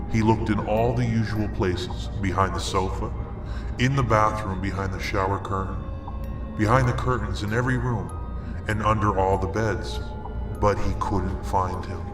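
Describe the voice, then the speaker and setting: Male storyteller, middle-aged, lowish voice, suspenseful scary-story read, roomy sound with music under it. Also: fairly even intonation. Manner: slow, deliberate, suspenseful delivery. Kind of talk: dramatic scary story narration.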